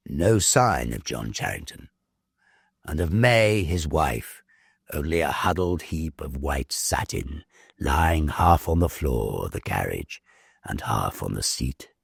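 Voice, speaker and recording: Very deep, gravelly male storyteller. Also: lively intonation, no room sound, studio quality. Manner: theatrical, measured, suspenseful. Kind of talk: dramatic scripted narration of a ghost story.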